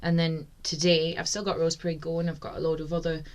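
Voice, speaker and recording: A woman from North East England with a low, husky voice, chatting easily as a singer-songwriter guest in a podcast studio. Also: flat delivery, brisk. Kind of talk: casual interview chat.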